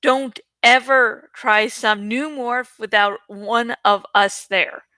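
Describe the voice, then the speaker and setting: Scolding teen girl played by a female reader, stern and caring, storybook drama, measured and crisp. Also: on the high side, steady tone, bright, forceful tone, no echo.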